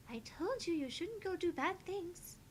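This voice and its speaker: A woman playing a sweet, naive young girl in a visual novel, with a soft, airy voice and innocent, hesitant questions. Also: very high voice, slight background noise, heavily acted.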